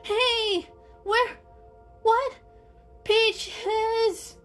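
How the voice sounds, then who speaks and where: A woman's voice: very high-pitched, steady tone, drawn-out words, fairly bright tone, soft background music, voice pushed up, full character voice. A woman voicing a frightened, stubborn young male kitten with a whiny delivery in an animal storybook reading. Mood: panicked, loud. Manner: whiny, protesting. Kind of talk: children's animal story character voice.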